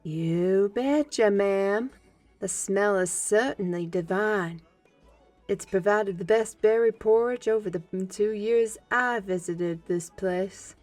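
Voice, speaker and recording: A woman's voice: mid-range voice, takes it slowly, a little mellow, dry recording, lightly acted. Woman playing genteel half-beast scholar woman, music behind. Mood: gracious, friendly. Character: learned half-blood animal woman, former slave, genteel and gracious. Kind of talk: fantasy audio drama acting. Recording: background music under the voice.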